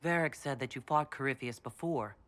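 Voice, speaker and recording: An actor playing a composed, earnest leader of a fantasy order in a video game, speaking directly. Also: male voice, medium pitch, brisk, a bit bright, natural voice. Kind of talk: dramatic video game cutscene acting.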